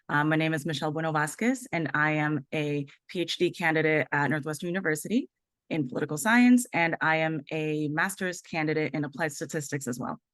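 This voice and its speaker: A woman with a notably low voice speaking on a panel over a video call. Her delivery is fluent and formal, and the sound is dry with no room echo. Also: fairly bright tone. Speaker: panelist, PhD candidate. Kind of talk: prepared self-introduction.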